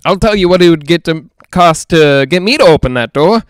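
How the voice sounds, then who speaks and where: Male, clear high voice; actor playing a jittery, lonely haunted-house broadcaster, rambling, halting, old-time radio drama. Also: expressive, dry recording, clean studio sound, close to an everyday voice. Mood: jumpy, squeamish. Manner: nervous, rambling, halting, self-reassuring. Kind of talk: 1940s-style radio drama monologue.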